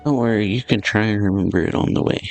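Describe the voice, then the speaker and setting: A man giving casual, low-key reactions as a let's play streamer, in short murmured remarks. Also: fast. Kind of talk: casual game reaction commentary. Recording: game music behind commentary.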